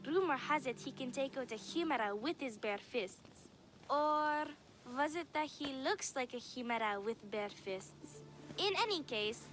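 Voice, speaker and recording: Young greek girl from a video game, high voice, earnest n worried, music behind. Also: fairly bright tone. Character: worried young Greek girl seeking her missing brother.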